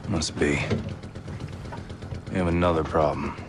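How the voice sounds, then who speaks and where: A man playing a gruff, middle-aged covert agent in a video game, terse and deadpan in a gravelly voice. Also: extremely low pitch, a little slow. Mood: grim, terse. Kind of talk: cinematic video game acting. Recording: noisy game action soundtrack.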